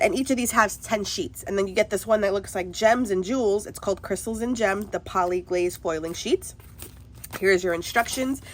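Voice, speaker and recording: Chatty woman with a stuffy cold, excited, giggly, doing a craft haul at home. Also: medium pitch, a little hiss or hum. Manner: bubbly, chatty, laughs often, excitable. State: congested from a cold. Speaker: craft vlogger. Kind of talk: casual haul video chat.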